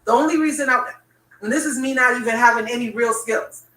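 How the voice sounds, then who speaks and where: A woman's voice: a little high, a little fast. A woman with a Bahamian accent, co-hosting a casual podcast chat and making her points emphatically. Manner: emphatic, opinionated, building to excited peaks. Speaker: podcast co-host, DJ. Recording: roomy echo, mic at a distance.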